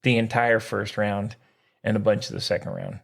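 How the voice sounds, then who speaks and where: A man's voice: lowish voice, sing-song, moderate pace, vocal fry. A man co-hosting a casual sports podcast, talking in a blunt, opinionated, exclamatory way.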